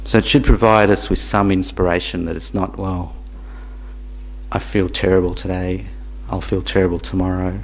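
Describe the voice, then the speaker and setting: A man born in Tanzania, teaching Buddhism to a small group in a halting, repetitive way, with questions for the audience. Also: sits low, little pitch movement. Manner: halting, repeats words, asks the audience questions. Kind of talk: dharma talk to a small group.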